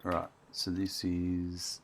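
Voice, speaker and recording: Low-voiced man, strained, narrating gameplay. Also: slow pace, slight background noise. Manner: relaxed, thinking aloud while building. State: voice getting strained. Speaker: game streamer. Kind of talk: casual gameplay commentary.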